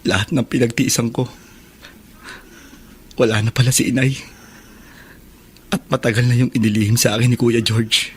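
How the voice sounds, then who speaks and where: Grieving, bitter ex-convict younger brother male actor, mid-pitched, halting Filipino radio-drama delivery. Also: little pitch movement, slight background noise, natural voice. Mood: bitter, dejected. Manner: halting, emotional delivery with repeated words.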